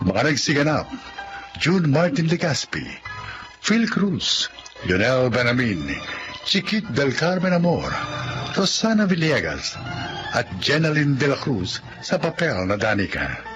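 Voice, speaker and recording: A man's voice: medium pitch, very animated, a little slow. A man with a Filipino accent reading scripted dramatic narration and credits as a radio drama announcer. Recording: dull radio recording under music.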